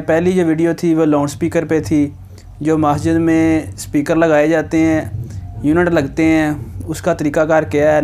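A man's voice: on the high side, a little mellow, a little hiss or hum. A young man, giving step-by-step how-to narration in a plain, instructional manner. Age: young adult. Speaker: how-to video host.

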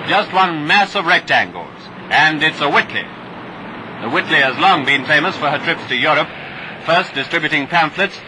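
British guy doing a 40s war training film voiceover, kinda high voice, wry and clipped, lots of old film noise. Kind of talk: 1940s wartime instructional film narration, scripted. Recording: noisy vintage 1940s film soundtrack.

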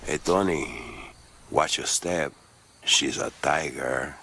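Crime-game kingpin, extremely low voice, gravelly, smooth menace, self-assured, faint ambience. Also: male voice, slow pace, bright, forceful tone, full character voice. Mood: confident, smooth. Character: shrewd, self-assured island drug boss, smooth and menacing. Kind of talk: video game cutscene acting.

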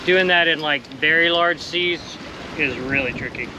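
A man in his forties chats easily to an action camera on the deck of a small sailboat at sea. His voice sits a bit high, loose and cheerful, full of little fillers. Steady wind and water noise wash over the windy outdoor recording. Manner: relaxed, upbeat, loose with fillers and soft laughs. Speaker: solo sailor vlogger. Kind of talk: casual vlog narration. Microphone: action camera close on the boat. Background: wind and water rushing past the hull.

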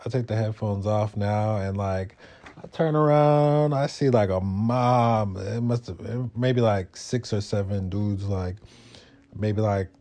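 A young man tells a story in a vlog. His voice sits fairly low and has a dark, mellow tone. He talks casually and loosely, in laid-back street slang. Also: takes it slowly. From Brooklyn, New York. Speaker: motovlogger.

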